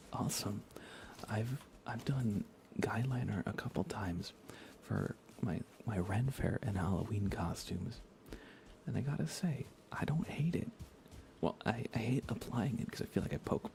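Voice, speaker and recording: A man speaking softly as an ASMR game streamer, hushed and often near a whisper, rambling casually as he chats with viewers. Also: fairly low pitch, vocal fry, noisy background. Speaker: live streamer. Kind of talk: soft-spoken ASMR gaming livestream chat.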